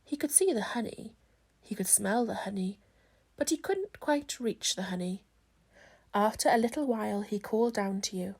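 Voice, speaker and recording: A woman's voice: mid-range voice, expressive, moderate pace, darkish tone, breathy edge. A woman reading a children's story aloud as scripted narration. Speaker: storybook reader.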